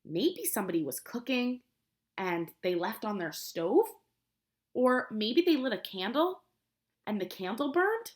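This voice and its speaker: Lively, high-voiced woman reading to preschoolers. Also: a little slow. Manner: expressive, simple explaining, prompting children with questions. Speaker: preschool teacher. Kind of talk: children's picture book read-aloud with questions to young listeners.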